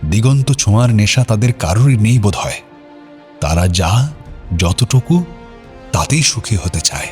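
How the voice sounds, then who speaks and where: A man's voice: fairly low pitch, expressive, a little slow, long pauses, darkish tone, soft background music. A man narrating an audio story in a solemn, literary and dramatic way.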